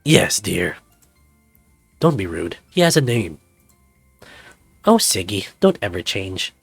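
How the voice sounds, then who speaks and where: Casting note: calm teasing scaly dad, lowered, husky, as a man voices him. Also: takes it slowly, no room sound, faint music behind, heavily acted.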